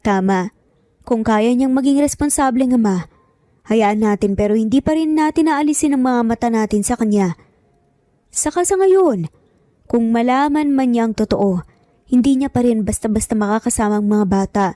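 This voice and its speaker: A protective, stern grandfather in an audio drama, played by a woman narrator, talks cautiously with a Filipino accent. The voice is very mellow and fairly high, with a dry sound. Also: moderate pace, dry recording, natural voice. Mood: wary, concerned.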